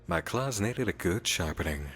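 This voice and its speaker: An actor playing a sly, playful demon in a visual novel, teasing, cryptic and theatrical. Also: male voice, low voice, animated, moderate pace, a bit bright, slightly rough, full character voice. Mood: sly, composed. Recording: long reverb, music behind.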